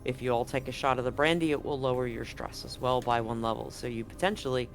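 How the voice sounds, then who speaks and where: A man serving as game master, laying out a scene for his players with plenty of restarts and asides. His pitch sits in a middle range. Soft music plays behind him. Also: steady tone, fast talker, vocal fry. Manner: rambling, self-correcting descriptions. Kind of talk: running a tabletop game.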